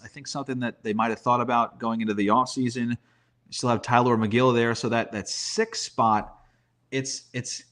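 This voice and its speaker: A man with a medium-pitched voice talks casually as a baseball analyst. He thinks aloud in a conversational way, working through options and putting rhetorical questions as he goes. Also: fairly bright tone. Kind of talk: casual sports talk, roster preview.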